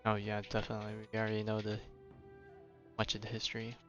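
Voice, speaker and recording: Young guy streaming a game, lowish voice, chatty n muttering to himself, bit of music behind. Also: completely flat delivery, fast talker, fairly bright tone, highs cut off. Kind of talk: casual live gameplay commentary.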